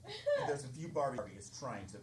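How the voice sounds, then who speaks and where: Low female voice, halting improv narration, distant mic, roomy theatre echo, background noise. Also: wildly swooping pitch. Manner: halting, searching for words. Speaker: improv comedy performer narrating. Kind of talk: improvised audio drama narration. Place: theatre stage. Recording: echoey stage, distant mic, noisy.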